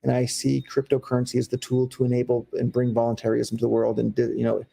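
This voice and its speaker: Gravelly American man in a relaxed interview. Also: mid-range voice, quick pace, low-quality recording. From United States. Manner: candid, rambling, with fillers and restarts. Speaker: guest. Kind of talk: casual interview talk.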